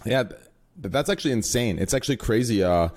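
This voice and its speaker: A man's voice: mid-range voice, lively intonation, a little fast, a little mellow. A man in his late twenties with a mid-range voice, hosting a streaming chat. He rambles, trails off and turns to ask his viewers things. He sounds casual and unpolished. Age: 20s. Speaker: streamer, host.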